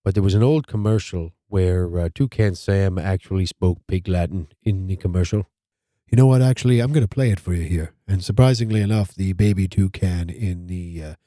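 Stuffy-nosed man with a low voice. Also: mellow, no room sound, studio quality. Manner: rambling, halting, repeats words. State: stuffed up nose. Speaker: podcast host. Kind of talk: casual podcast chat.

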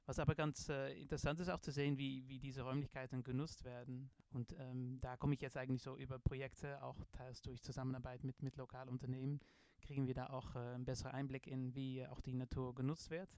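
A man's voice: medium pitch, little pitch movement, quick pace, darkish tone, clean tone, no room sound. A middle-aged man with a Dutch accent, a design professor answering in a podcast interview, hesitant with many fillers and restarts. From Netherlands.

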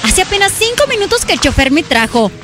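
An actress playing a pampered, sharp-tongued young wife in a radio comedy sketch, full of fussy endearments and indignant retorts. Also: female voice, extremely high pitch, very animated, fast talker, loud music behind the voice.

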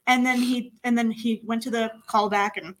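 A woman with a bright, lively-sounding voice, a little higher than most, talks casually on a community radio show. She explains ideas step by step in a friendly teaching way and keeps asking "right?" to check in. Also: moderate pace, poor audio. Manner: explanatory, tags "right?" often. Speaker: accent coach.

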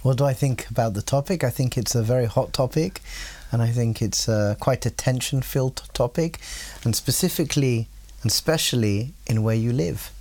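A man speaking as a podcast guest, thoughtful and hesitant, asking rhetorical questions and pausing with fillers. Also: medium pitch, darkish tone. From England. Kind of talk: casual podcast conversation.

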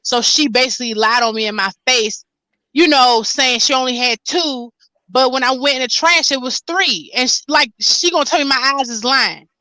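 Bright, high-voiced American woman hosting a chat. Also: moderate pace, no echo, low-quality recording. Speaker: host, hairdresser. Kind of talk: casual chat.

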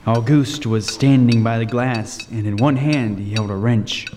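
A man playing a haunted lighthouse keeper, telling his ordeal as radio drama narration with grim, suspenseful, deliberate delivery. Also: mid-range voice, fairly even intonation, background noise, natural voice. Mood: tense dread. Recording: echoing hall reverb. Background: music.

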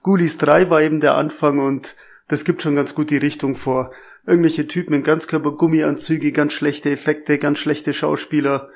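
A man co-hosting a horror movie podcast over a remote line, explaining things in a relaxed, easygoing way. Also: on the high side, normal speed. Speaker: podcast co-host. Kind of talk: casual podcast chat.

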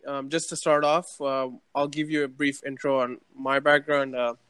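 Male podcast host, young adult, informal and playful, crisp tone, no room sound. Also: mid-range voice, flat delivery, normal speed, fairly bright tone, no echo. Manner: informal, joking with friends. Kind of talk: casual podcast chat.

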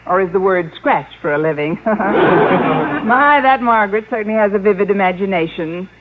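An actress playing a wry, sharp-tongued single schoolteacher with dry, sarcastic delivery in vintage radio sitcom style. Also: female voice, mid-range voice, lively intonation, normal speed, lightly acted. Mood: haughty. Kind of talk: 1950s radio sitcom acting. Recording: tinny old radio, audience, noisy.

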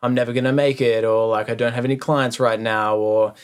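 A man's voice: mid-range voice, level tone, brisk, clean tone. A man co-hosting a casual podcast, speaking earnestly about mindset and putting questions to his co-host. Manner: earnest, motivational, asks the other host questions.

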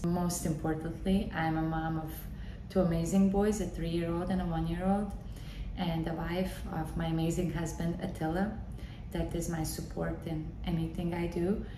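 A thirty-year-old woman from Bosnia and Herzegovina with a Bosnian accent, hosting a solo podcast and telling her own story conversationally, repeating words for emphasis. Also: on the low side. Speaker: podcast host, tennis coach. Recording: roomy echo with clear background noise.

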